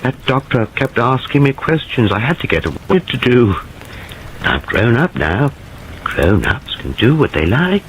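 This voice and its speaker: A man voicing an unhinged young man who talks like a child, his voice climbing high and gleeful. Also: big pitch swings, measured pace, fairly bright tone, a little hiss or hum, low-quality recording. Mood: petulant. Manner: childlike and gleeful, rising shrilly.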